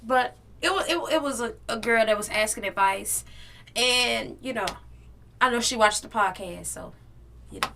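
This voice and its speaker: A young woman co-hosting a podcast, telling personal stories in an animated, chatty way and talking straight to listeners. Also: fairly high pitch, normal speed, gravelly, slight background noise. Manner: animated, laughing, addresses listeners directly. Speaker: podcast co-host. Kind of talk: casual storytelling chat.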